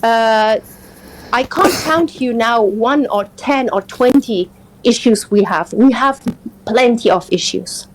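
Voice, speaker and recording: A woman from Afghanistan, a native Persian speaker, in a live political discussion, speaking earnestly and haltingly as she searches for words. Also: fairly high pitch, poor audio. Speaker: interview guest.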